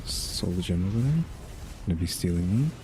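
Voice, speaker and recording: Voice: sits low, lively intonation, a little fast, very noisy. A gamer giving casual, offhand commentary in muttered asides while playing. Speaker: gamer commenting over gameplay. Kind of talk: casual let's play commentary.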